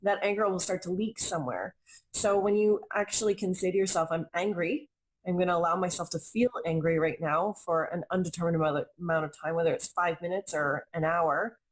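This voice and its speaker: A young adult woman talks earnestly in a low, even-toned voice. She is reflective and stacks repeated phrases to drive her point home. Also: a little fast, poor audio. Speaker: intuitive reader and healer, guest. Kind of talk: conversational interview.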